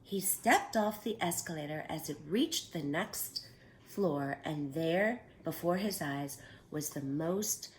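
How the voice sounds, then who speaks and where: A woman reading a children's picture book aloud at storytime, animated and pausing to ask her young listeners questions. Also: deep voice, a little hiss or hum, poor audio.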